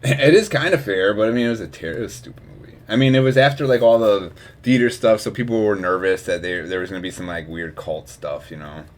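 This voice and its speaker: A young man from Wisconsin talks. He streams live and rambles casually between asides. He laughs often, and his volume swings between loud bursts and quiet mumbling. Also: on the low side, fast, hardly any pauses. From Wisconsin, USA.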